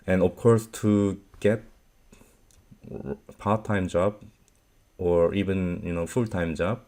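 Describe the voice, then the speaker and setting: A Korean-born man, Korean his first language, talks in a very low, deep voice. He speaks slowly and hesitantly, pausing on many fillers as he answers viewer questions. His grammar is loose and non-native. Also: fairly even intonation, dark tone. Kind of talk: unscripted Q&A advice talk.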